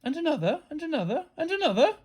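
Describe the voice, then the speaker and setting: Lady doing a sly little schoolgirl from a kids book, fake polite, all innocent, high pitched. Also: sing-song, quick pace, a little mellow. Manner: mock-polite, feigning innocence.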